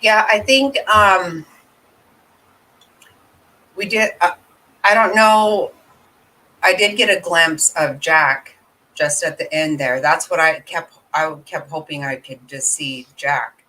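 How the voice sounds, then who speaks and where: Bright-voiced woman musing aloud. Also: low-pitched, low-quality recording. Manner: halting, thinking aloud with fillers. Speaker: vlog host. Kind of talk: casual reaction commentary.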